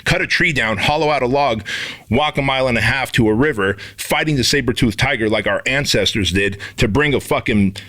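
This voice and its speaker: A man's voice: medium pitch, brisk, sharp tone. A man in his forties from Armenia, co-hosting a podcast and talking emphatically, swearing freely. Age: 40s. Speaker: podcast co-host. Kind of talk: casual motivational talk.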